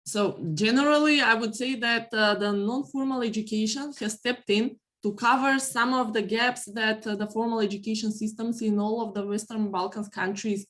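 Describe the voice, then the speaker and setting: A woman giving formal interview answers as an organization's representative, measured and pausing within phrases. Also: fairly high pitch, steady tone, fairly bright tone. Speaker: guest representing a business chamber forum.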